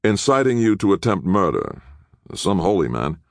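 A man's voice: deep voice, sing-song, normal speed, creaky, dry recording, studio quality, voice pushed up, full character voice. A man voicing a youngish public defender in an audiobook, cold, impatient and disapproving. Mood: impatient, accusing. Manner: curt, disapproving, accusatory.

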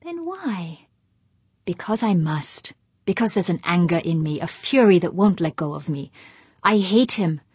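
A woman's voice: lowish voice, animated, normal speed, slightly rough, no echo. A woman voicing a bitter, enraged husband who snaps and growls his lines in an audiobook. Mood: furious. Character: furious middle-aged male husband.

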